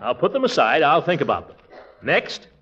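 A man's voice: a little high, huge pitch swings, moderate pace. An American man playing a pompous, flustered middle-aged uncle in a blustery, spluttering 1940s radio comedy style. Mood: reluctant. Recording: muffled, noisy 1940s radio recording.

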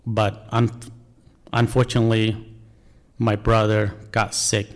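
A man's voice: on the low side, flat delivery, slow. Man with a Cambodian accent telling his story. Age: 40s. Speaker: National Guard member telling life story. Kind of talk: prepared personal speech.

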